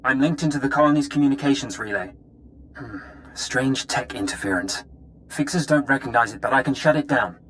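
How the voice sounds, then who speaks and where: A man playing a pragmatic intelligence officer in a video game, talking in a brisk, businesslike, urgent way. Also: medium pitch, a bit bright, slight background noise, lightly acted, low-quality recording. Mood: concerned, brisk. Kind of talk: scripted video game dialogue.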